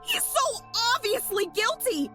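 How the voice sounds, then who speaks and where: A woman's voice: very high-pitched, big pitch swings, measured pace, music in the background, heavily acted. A woman playing a stubborn, easily hurt young woman in an audio drama, with emphatic, accusing delivery. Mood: furious accusing. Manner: emphatic, outraged outbursts.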